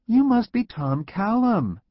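A man voicing an enthusiastic female secretary in an audiobook, speaking with bright eagerness. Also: high voice, sing-song, normal speed, no echo, voice pushed up. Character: cheerful woman secretary, enthusiastic. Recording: dull lo-fi audio.

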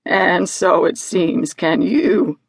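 Storybook animal: sweet lady sheep done by a woman, unhurried, carefully enunciated, full of wonder. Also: fairly low pitch, vocal fry, slightly rough, no room sound, heavily acted. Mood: amazed, delighted. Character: sweet female sheep newly able to talk.

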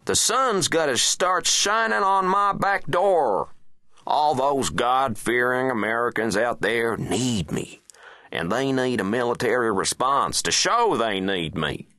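A man's voice: medium pitch, expressive, a little slow, bright, forceful tone, dry recording, clean studio sound, pitched above the performer's own voice. A man voicing a petulant, exasperated American president in a satirical audiobook, sarcastic and whiny, with emphatic swearing. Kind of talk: satirical audiobook character acting.

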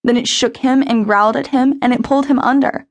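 A woman's voice: high voice, fairly even intonation, a little fast, pitched above the performer's own voice. A woman voicing a grieving teenage girl who speaks in a pleading, urgent way. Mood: distraught.

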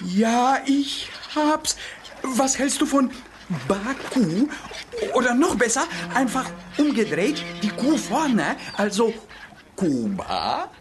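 A woman's voice: fairly high pitch, very animated, slow pace. A woman voicing a cheeky, playful young creature in a children's audiobook, talking in a sing-song, giggly way. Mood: excited. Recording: children's audio drama with music behind.

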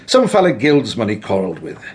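An actor playing an overbearing, impatient Tudor official with a husky voice and a brusque, contemptuous manner, in a radio drama. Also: male voice, on the low side, wildly swooping pitch, fairly quick, heavily acted. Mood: brusque, commanding. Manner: brusque, commanding. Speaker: senior official. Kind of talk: full-cast radio drama.

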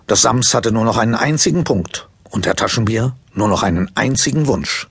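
A man narrating a children's story from a script in an expressive storytelling manner. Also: lowish voice, normal speed, creaky, husky, no echo.

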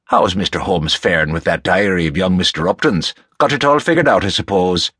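A man's voice: deep voice, brisk, fairly bright tone, breathy edge, dry recording, clean studio sound. A man voicing an amiable, teasing Irishman with a folksy, jovial way of talking in an audiobook. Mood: cheerfully curious. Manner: folksy, jovial turns of phrase. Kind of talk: audiobook character dialogue.

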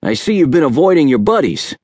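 A man's voice: fairly high pitch, sing-song, a little fast, darkish tone, husky, no room sound, clean studio sound, voice pushed up. A man voicing a friendly, teasing uncle in an audiobook, folksy and encouraging. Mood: concerned, probing.

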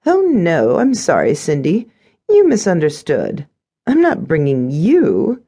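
A woman's voice: fairly low pitch, wildly swooping pitch, takes it slowly, warm tone, slightly rough, voice pushed up, heavily acted. A woman narrator voicing a smug, conniving stepmother in an audiobook, sugary and condescending. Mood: falsely sweet.

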